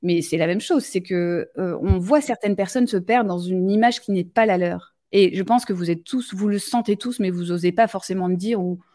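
A woman's voice: on the low side, moderate pace, hardly any pauses, mellow. A woman hosting a live talk, speaking directly and plainly, often restarting her sentences. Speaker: live show host. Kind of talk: casual live discussion.

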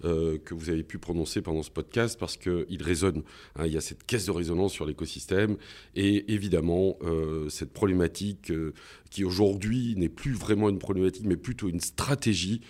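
Low, mellow-voiced podcast host. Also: male voice, normal speed. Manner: formal, hesitant with fillers. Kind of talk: podcast wrap-up.

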